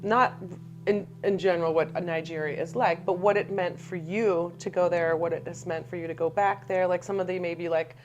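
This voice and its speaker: A woman's voice: lowish voice, steady tone, fairly quick, muffled recording, soft background music. A middle-aged woman, a former teacher, talking as a guest over a video call, thoughtful and searching for words. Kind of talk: casual interview chat.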